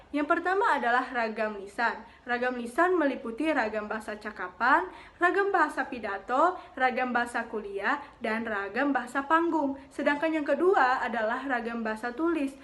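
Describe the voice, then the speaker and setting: A young woman student reading a class presentation, steady but with frequent hesitant fillers. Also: a little high. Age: young adult.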